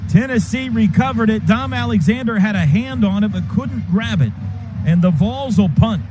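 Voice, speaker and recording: A man doing live play-by-play radio commentary of a football game from a stadium booth, in rapid clipped calls that surge on big plays. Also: sits high, animated, music in the background. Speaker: play-by-play announcer. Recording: noisy live stadium broadcast.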